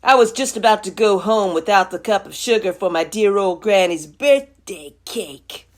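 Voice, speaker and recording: A woman's voice: medium pitch, lively intonation, moderate pace, lightly acted. A woman voicing a folksy, indignant male wolf who tells his side of a storybook tale with mock innocence. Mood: mock-innocent.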